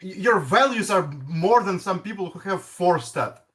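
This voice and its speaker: Halting man with a Russian accent. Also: sits high, animated, fairly bright tone. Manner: halting, many restarts and stumbles. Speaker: guest player. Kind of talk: casual gaming chat.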